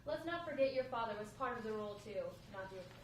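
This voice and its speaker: A woman's voice: fairly high pitch, lively intonation, very fast, lightly acted. Woman reading as a worried wife, very echoey room. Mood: uneasy, warning. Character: Lauren, worried, doubting wife of a gang recruit. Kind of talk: script table read. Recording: very echoey room, distant mic, faint noise.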